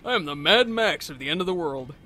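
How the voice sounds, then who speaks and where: Casting: man, playful sidekick commentator, bright forceful tone, casual banter, slight game sound behind. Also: fairly high pitch, animated, brisk, slight background noise. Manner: playful, quipping. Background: video game sounds.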